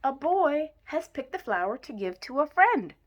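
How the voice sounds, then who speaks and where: A woman reading a children's picture book aloud in an expressive way, with deliberate emphasis. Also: high-pitched, lo-fi sound. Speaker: story reader.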